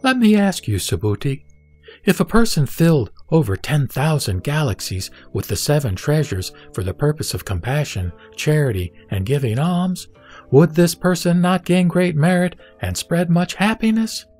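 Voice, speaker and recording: A man playing the Buddha, a solemn spiritual teacher, reciting scripture in a measured audio drama delivery. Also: fairly high pitch, expressive, a little mellow, soft background music. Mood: solemn, instructive. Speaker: scripture reader.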